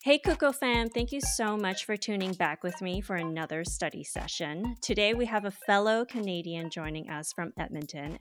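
A woman's voice: mid-range voice, fairly bright tone. A woman hosting a podcast interview, chatty and friendly, with frequent fillers and laughs. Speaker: podcast host. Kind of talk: casual interview chat. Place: Toronto.

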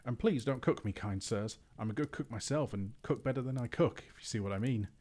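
A man's voice: medium pitch, lively intonation, measured pace, a little mellow, slightly rough, pitched above the performer's own voice. A man voicing a small, frightened male hobbit who stammers and pleads breathlessly, raising his voice well above his own. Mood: frightened pleading.

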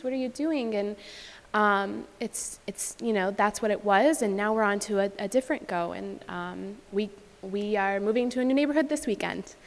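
Hesitant woman speaking in a hall. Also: on the high side. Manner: hesitant, many "um"s, laughs often. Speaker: panel speaker, pastor's wife. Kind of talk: casual panel talk. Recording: reverberant hall.

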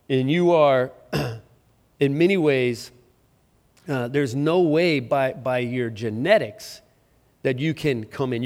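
A middle-aged man preaching a sermon as pastor, conversational and self-deprecating, with frequent restarts and fillers. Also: medium pitch. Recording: long reverb of a large hall.

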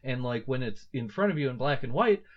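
A man's voice: medium pitch, animated, a little fast. A man in his thirties hosting a casual book-discussion podcast, thinking aloud with many fillers and restarts. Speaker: podcast host. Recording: slightly muffled lo-fi audio.

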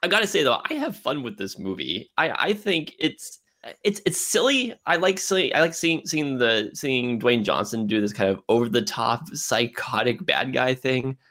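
A man's voice: mid-range voice, very animated, normal speed, fairly bright tone. A man co-hosting a casual film discussion, often stammering and repeating his words as he makes his point. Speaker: podcast co-host.

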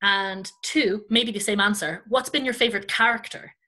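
A woman's voice: medium pitch, fairly even intonation, moderate pace, bright, forceful tone. An Irish woman, a children's performer hosting a podcast, chatting casually. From Ireland.

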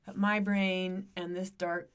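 A middle-aged American woman co-hosting a casual podcast, her voice rough and scratchy from singing, speaking in a hesitant, reflective way. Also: medium pitch, flat delivery, takes it slowly. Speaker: podcast co-host and amateur singer. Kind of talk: casual conversational podcast chat.

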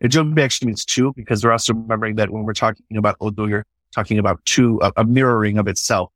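A man's voice: on the low side, steady tone, quick pace, fairly bright tone, no echo. A man speaking in an enthusiastic, conversational way, swearing casually and often restarting his sentences. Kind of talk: conversational podcast discussion.

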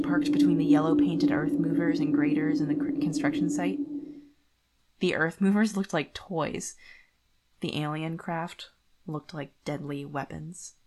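Frightened young storybook heroine, read by a woman: low, solemn, deliberate, brooding. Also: heavy background noise. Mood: afraid, somber. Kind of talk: dramatic audiobook-style reading.

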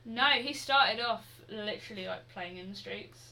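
A woman's voice: medium pitch, moderate pace, bright, forceful tone, poor audio. A young woman co-hosting a music podcast, chatting casually with giggles and hesitant restarts. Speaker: podcast co-host. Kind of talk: casual chat.

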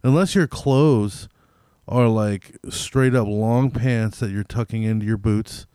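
A man's voice: on the low side, takes it slowly, dark tone, clear, resonant tone, no room sound, clean studio sound. A man chatting casually as a podcast co-host, with slangy, profane, rambling talk that piles up hypothetical examples. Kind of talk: casual chat, profane banter.

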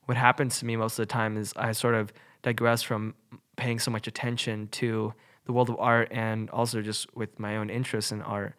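A man's voice: on the low side, little pitch movement, moderate pace, fairly bright tone. Young man, nasal from a cold. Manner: rambling, many fillers like "like" and "um". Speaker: podcast co-host. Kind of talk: casual podcast chat.

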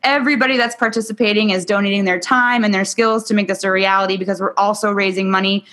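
A woman's voice: mid-range voice, fairly even intonation, fast talker, fairly bright tone. A woman hosting a summit interview, speaking in an upbeat, promotional way over a video call. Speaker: summit host.

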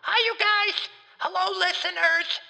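A bright, very high-voiced young boy. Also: fairly even intonation, unhurried, creaky, big-room sound, full character voice. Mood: bewildered. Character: excitable young boy phoning in, anxious and bewildered. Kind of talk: scripted comedy podcast acting.

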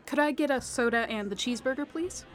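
A woman's voice: high voice, fast talker, close to an everyday voice. A young woman acting in an audio drama as a skeptical, grieving daughter who mutters to herself in disbelief. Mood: uneasy.

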